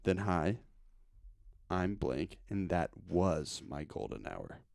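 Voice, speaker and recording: Low-voiced man hosting a casual interview. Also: big pitch swings, slow, gravelly, a little mellow, no room sound, studio quality. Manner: slangy, buddy-like. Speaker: interview host. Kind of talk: casual chat.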